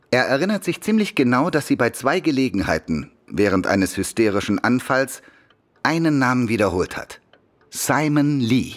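Ein Schauspieler spielt in einem Hörspiel einen ernsten Polizeibeamten, der förmlich und bedächtig berichtet. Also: male voice, mid-range voice. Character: serious police official reporting a case. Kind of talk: German radio play acting.

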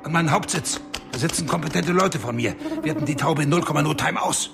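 Banker, radio play, commanding, gravelly, music behind. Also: male voice, fairly high pitch. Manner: brisk, commanding. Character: pushy hurried male banker, commanding. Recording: studio radio drama with music.

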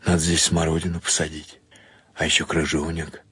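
A man's voice: rumbling low, completely flat delivery, moderate pace, sharp tone, soft background music. Timid middle-aged woman as a man reads her. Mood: wistful, practical. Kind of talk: character dialogue in radio story reading.

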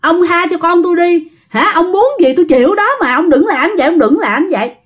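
A woman's voice: high-pitched, expressive, normal speed, a bit bright. A woman storyteller voicing a stubborn rural mother facing a spirit over her son, earthy and emphatic, with a Southern Vietnamese accent. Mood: pleading. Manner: emphatic, earthy, repeats phrases.

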